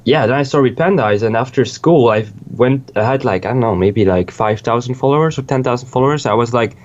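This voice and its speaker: A man's voice: medium pitch, fast, a little mellow, dull audio. A young man from Switzerland, a music producer, chatting casually in an interview, rambling and swearing freely with lots of restarts.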